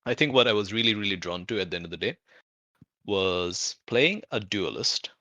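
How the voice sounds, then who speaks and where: A man with a fairly deep, bright voice talks through tabletop game ideas as a player. He speaks informally and haltingly, working out his thoughts as he goes, in a dry, close sound. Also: animated, no echo. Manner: halting, thinking aloud, informal with swearing. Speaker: player in a tabletop roleplaying show. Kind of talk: casual out-of-character game discussion.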